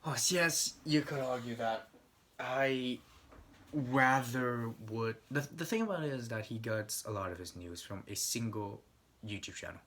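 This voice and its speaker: A man's voice: medium pitch, animated, unhurried, a bit bright, slight background noise. A young man rambling casually, swearing often and stumbling over restarts.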